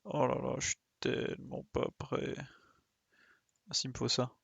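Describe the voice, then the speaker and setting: A man's voice: medium pitch, little pitch movement, measured pace, creaky, a bit bright, breathy edge, no echo. A man giving casual live game commentary, muttering his reactions to himself. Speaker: game streamer.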